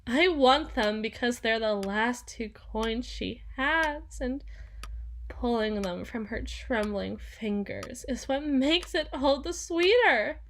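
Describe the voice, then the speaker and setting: A woman's voice: on the high side, slight background noise. A woman playing a seductive, sadistic female demon in a teasing, condescending, theatrical ASMR roleplay monologue.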